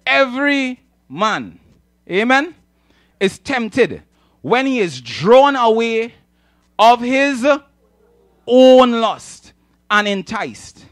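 A Trinidadian man preaches in a high voice that swings widely in pitch. He drives his points home with repeated phrases and checks in with his listeners for agreement. The sound is close and dry on a handheld microphone. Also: expressive, very slow, long pauses, fairly bright tone, no room sound. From Trinidad and Tobago. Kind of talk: animated sermon with audience call-and-response. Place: church hall, speaking from the stage.

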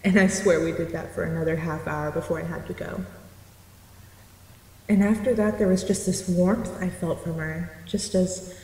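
Mellow, understated woman acted by a woman. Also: lowish voice, fairly even intonation, moderate pace, close to an everyday voice. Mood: sincere, polite. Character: down-to-earth, sincere married woman. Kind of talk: naturalistic audio drama acting. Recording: roomy echo, faint background noise.

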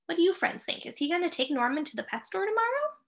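High, animated woman reading to children. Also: vocal fry. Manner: expressive, sing-song, with drawn-out key phrases and dramatic gasps. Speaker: teacher reading a picture book aloud. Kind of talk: children's read-aloud with questions to young listeners.

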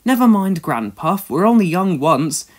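A man's voice: high-pitched, big pitch swings, a little slow, full character voice. A man voicing a cheeky, teasing young steam engine in a children's story. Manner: teasing, bright-spirited.

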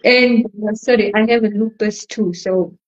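A middle-aged woman from India, a caller on a live video chat, talking haltingly with many fillers. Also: mid-range voice, fairly even intonation, muffled recording. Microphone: phone camera in a video call.

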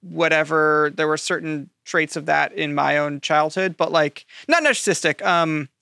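A woman's voice: low voice, normal speed, gravelly, bright, forceful tone, dry recording, studio quality. A woman co-hosting a talk show in casual chat, candid, repeating words and often saying "like".

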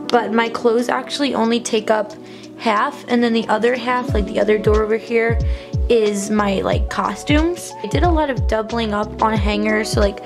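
Raspy young woman giving a chatty tour. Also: fairly high pitch, steady tone, moderate pace, music in the background. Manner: chatty, upbeat, rambling with fillers. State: congested from allergies. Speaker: college program intern. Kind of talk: casual room tour vlog. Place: small apartment bedroom. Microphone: handheld phone camera.

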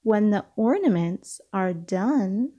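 A woman reading a children's storybook aloud, with deliberate, expressive phrasing for young listeners. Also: medium pitch, muffled recording. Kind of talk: read-aloud storybook narration.

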